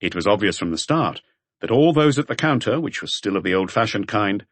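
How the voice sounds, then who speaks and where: Low-voiced male audiobook narrator. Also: big pitch swings, dry recording. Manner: measured, storytelling. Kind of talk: scripted mystery narration.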